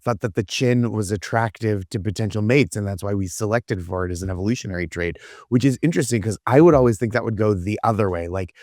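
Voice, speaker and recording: A man's voice: fairly low pitch, fast talker, hardly any pauses, a little mellow, no echo, studio quality. An American man chatting casually and comically as a panelist on a news comedy podcast. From United States.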